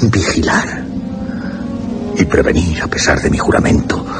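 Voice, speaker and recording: An actor playing a tormented, obsessed young gentleman in a radio horror drama, talking to himself in an agitated, theatrical way. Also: rumbling low, sing-song, unhurried, loud music behind the voice, heavily acted. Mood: anguished, resolute.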